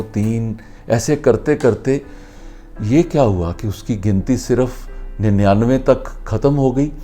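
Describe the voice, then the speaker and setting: Male storyteller, Indian accent, soft-edged dark tone, very unhurried delivery for moral tales. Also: mid-range voice. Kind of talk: narrating an inspirational moral story in conversational Hindi.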